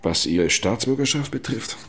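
An actor playing a courteous, sceptical British diplomat in an audio docudrama, speaking formally and probing politely. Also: male voice, medium pitch, lively intonation, moderate pace, fairly bright tone, lightly acted. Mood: formal, measured. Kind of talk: dramatized audiobook documentary.

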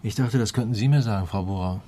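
An actor playing a shrewd police detective in a radio crime play, questioning in a pointed, deliberate way. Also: male voice, on the low side, mellow, husky. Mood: probing. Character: shrewd, probing male police detective pressing a suspect. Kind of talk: German radio play dialogue.

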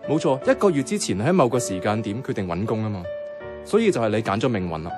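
A man plays an eager, philosophising young friend in a radio drama, speaking Hong Kong Cantonese. He explains things with playful earnestness, very lively and fast, with music clearly behind him. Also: lowish voice. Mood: earnest explaining.

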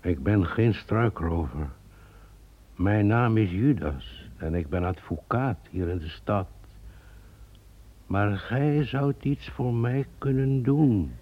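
Man as ominous stranger, slow, gravelly, husky, lo-fi old radio sound. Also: lowish voice. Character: Judas, a mysterious male lawyer who waits in ruins. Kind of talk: radio drama acting. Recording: dull old radio play recording.

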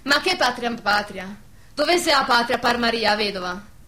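A woman's voice: a little high, animated, moderate pace, bright, forceful tone. A woman playing a defiant, sceptical village woman in a wartime radio drama, speaking bluntly and emphatically with a southern Lazio dialect accent. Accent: central Italian, southern Lazio dialect. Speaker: radio play actress.

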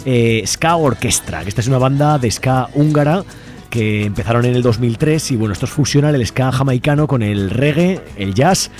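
A man's voice: mid-range voice, normal speed, darkish tone. A man with an accent from Spain presenting casually as a live radio host. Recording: music playing behind. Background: music.

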